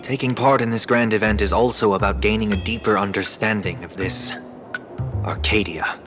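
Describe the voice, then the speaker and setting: An actor playing a composed, courteous, refined man in a video game, speaking in a measured, formal, reflective way. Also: lowish voice, fairly even intonation, music in the background, natural voice. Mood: calm, reflective. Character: composed, courteous, refined man who once sought godhood. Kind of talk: video game character dialogue.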